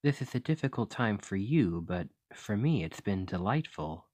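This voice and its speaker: Man acting a gentle, low-voiced scholarly archaeologist. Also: lively intonation, normal speed, no room sound. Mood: kindly, earnest. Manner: formal, articulate, musing delivery. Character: gentle, curious fantasy archaeologist, courteous and fascinated scholar. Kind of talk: ASMR roleplay acting.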